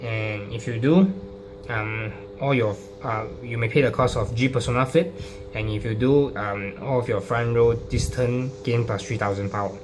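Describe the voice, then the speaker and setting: Halting man with noisy background hum. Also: medium pitch. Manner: halting, hesitant delivery with repeated phrases. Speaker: trading card deck profile presenter. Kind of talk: informal card-by-card explanation. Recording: clearly noisy recording with hum.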